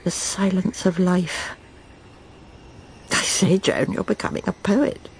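A woman's voice: lowish voice, fairly even intonation, takes it slowly, creaky, a little hiss or hum. An actress playing a proper, self-satisfied middle-aged wife and mother in a radio drama, prim and clipped, anxiously reassuring herself. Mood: musing, uneasy. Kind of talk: radio drama acting, dialogue and inner monologue.

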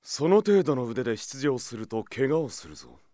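An actor playing a calm, confident swordsman guard captain in a Japanese audio drama, speaking gruffly but with composure. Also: medium pitch, moderate pace, close to an everyday voice.

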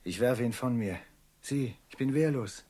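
An actor playing a brooding, feverish traveler in a classic German radio drama, questioning in a grave, insistent and deliberate manner. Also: male voice, medium pitch, loud music behind the voice, close to an everyday voice. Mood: urgent, insistent. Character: brooding, feverish male traveler searching for a hidden truth.

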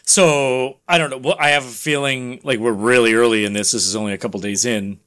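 A man co-hosting a podcast, explaining things informally and a little haltingly, as if thinking aloud. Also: mid-range voice, steady tone, fairly bright tone, clear, resonant tone. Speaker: comics news podcast co-host. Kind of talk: casual explanatory chat.

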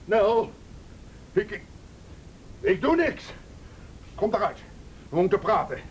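A man's voice: sing-song, takes it slowly, full character voice. An actor playing a menacing, desperate man who bullies and pleads with his partner in a radio play, in a coarse, commanding way. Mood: pleading. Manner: coarse, commanding, swinging between threats and pleading. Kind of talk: Dutch radio play acting. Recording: noisy radio play recording with rumble.

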